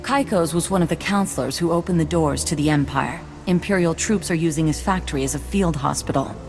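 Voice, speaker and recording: Army commander lady, very low voice, formal and composed, game music behind. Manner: formal, measured, authoritative. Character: composed, businesslike female military commander. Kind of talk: video game voice acting.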